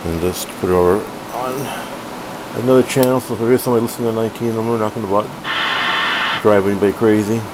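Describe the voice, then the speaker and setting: A middle-aged man with a fairly low voice gives a casual hobby demo. He talks in a halting, rambling way, often correcting himself. A clear background hum runs under his voice in a room at home. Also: steady tone. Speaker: hobbyist collector reviewing a radio. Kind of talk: casual unscripted product demo.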